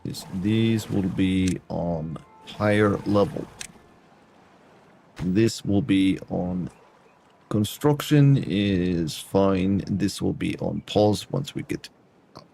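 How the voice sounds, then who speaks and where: A man's voice: low-pitched, slow pace, creaky, mellow. A Canadian man streaming a game, chatting to his viewers in a put-on foreign accent that drops articles. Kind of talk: casual gameplay chat with viewers.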